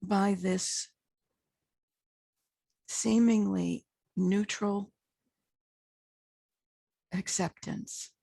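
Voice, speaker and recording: Slow, gravelly woman guiding meditation. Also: steady tone, mellow, no echo. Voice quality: husky, gravelly low voice. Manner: slow, measured, with long pauses between short phrases. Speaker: guided meditation teacher. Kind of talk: guided meditation, unscripted spiritual talk.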